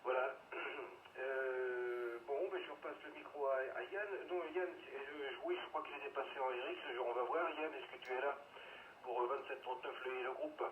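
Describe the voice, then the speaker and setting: A man talking casually and haltingly as an amateur radio operator over a two-way radio. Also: medium pitch, fairly even intonation, narrow, tinny audio. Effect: two-way radio transmission. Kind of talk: casual radio group exchange. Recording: noisy band-limited radio with echo.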